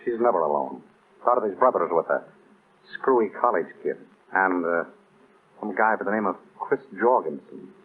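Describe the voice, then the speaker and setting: An actor playing a wry former detective in an old radio drama, dry, teasing and clipped. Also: male voice, sits low, expressive, normal speed, husky. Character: wry male ex-detective husband. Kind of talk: 1940s radio acting. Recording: tinny old radio recording with faint hiss.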